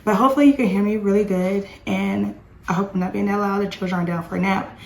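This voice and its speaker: A woman in her thirties, slightly hoarse, chatting in a rambling homeschool vlog from her home. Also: medium pitch, steady tone, quick pace, darkish tone, roomy sound, low-quality recording. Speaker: homeschooling mom vlogger. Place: home with dishwasher and dryer running.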